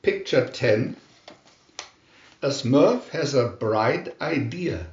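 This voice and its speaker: A man narrating a scripted children's storybook in a deliberate, expressive storytelling manner. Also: medium pitch, roomy sound, lo-fi sound.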